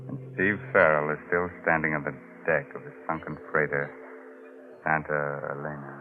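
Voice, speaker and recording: A man's voice: rumbling low, level tone, normal speed, over music. An actor playing a sardonic, macabre male host of a 1940s radio mystery, teasing and theatrical. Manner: playfully ghoulish, teasing, theatrical. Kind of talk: 1940s radio horror hosting. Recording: muffled, narrow-band old radio recording.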